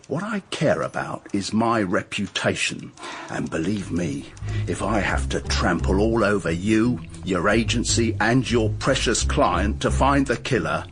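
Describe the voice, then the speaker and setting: An actor playing a sarcastic, menacing police inspector in a radio drama, husky and English, clipped and emphatic. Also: male voice, on the low side. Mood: threatening. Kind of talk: radio detective drama acting.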